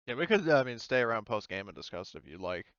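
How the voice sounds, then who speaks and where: Lively, smooth-voiced man chatting over a game. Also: mid-range voice, fast talker, no room sound, muffled recording. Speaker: tabletop roleplaying participant. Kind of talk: casual game-table chat.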